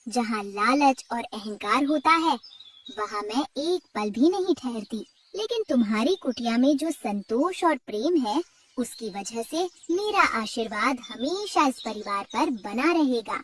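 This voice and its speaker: Woman voicing a high, solemn sacred cow. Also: expressive, measured pace, distant mic, slight background noise. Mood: solemn blessing. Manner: solemn, moralizing, declamatory. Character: righteous, divine mother cow who blesses and punishes. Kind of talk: cartoon story voice acting.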